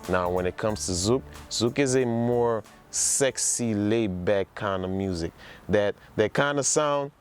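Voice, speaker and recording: A man presents in a casual, conversational way. His voice sits on the low side, and he speaks with enthusiasm, repeating words to drive a point home. Music plays faintly behind him. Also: measured pace. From New Orleans, United States. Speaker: presenter introducing a music production project. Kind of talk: casual informal talk to camera.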